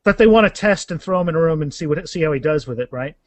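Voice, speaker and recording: Guy author chatting on a podcast, kinda high voice, keeps restarting sentences, really dry sound. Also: lively intonation, dry recording. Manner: halting, often restarts and repeats words. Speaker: author being interviewed about their fantasy series. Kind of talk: casual podcast interview.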